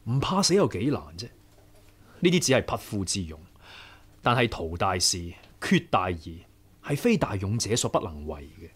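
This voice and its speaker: An actor playing a courteous, persuasive young rebel leader in a Hong Kong Cantonese radio drama. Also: male voice, on the low side, sing-song, normal speed, a bit bright, slightly rough, heavily acted. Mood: challenging.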